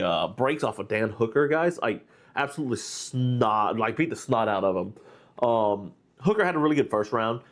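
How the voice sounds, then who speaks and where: A man with a stuffy, nasal voice from being under the weather, hosting a casual live stream. He rambles through his fight breakdown, circling back with fillers and false starts. His pitch sits at a middling level. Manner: rambling, with many fillers and restarts. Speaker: host.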